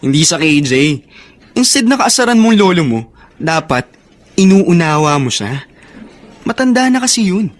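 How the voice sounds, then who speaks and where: A man's voice: sits high, sing-song, normal speed, pauses often. A man playing a sensible young friend who admonishes with understanding in a Filipino audio drama. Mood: chiding. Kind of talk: Filipino radio-style drama acting.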